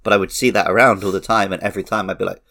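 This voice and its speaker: Male podcast co-host, low and creaky, chatting casually like a keen, detail-minded fan. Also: fairly even intonation, very fast, bright, forceful tone. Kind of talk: casual film and game discussion.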